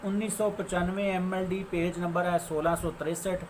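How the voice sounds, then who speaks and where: A man with a Pakistani accent giving an explanatory law lecture, deliberate and given to restating his phrases. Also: sits high, level tone, rough recording. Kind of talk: explanatory law lecture mixing Urdu with English legal terms. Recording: faint background noise.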